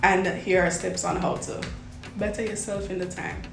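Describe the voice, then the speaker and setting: A woman's voice: on the low side, steady tone, normal speed, vocal fry. Jamaican woman giving pep talk advice, kinda echoey room, faint music behind. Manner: earnest, reassuring, repeats for emphasis. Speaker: medical student vlogger. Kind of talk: advice vlog to first-year students.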